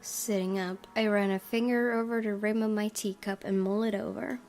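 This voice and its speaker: A woman's voice: mid-range voice, little pitch movement, normal speed, a little mellow. A woman hosting a gaming video, reading visual novel narration aloud. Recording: game music behind. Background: music.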